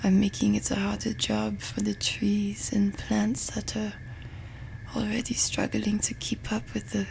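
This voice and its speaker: A woman playing a pensive French roommate in an intimate ASMR ramble, with a French accent and a soft, breathy, hesitant delivery. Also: medium pitch, completely flat delivery, a little slow, a little hiss or hum, poor audio. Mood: musing, gentle. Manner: hesitant, musing, self-deprecating. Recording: music behind the voice. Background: music and summer ambience.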